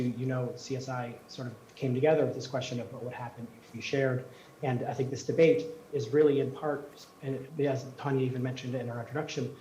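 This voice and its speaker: A man's voice: medium pitch, darkish tone. A Canadian man giving earnest, hesitant opening remarks at a public event, with many fillers. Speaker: co-host of the event. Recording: echoey room with faint background noise.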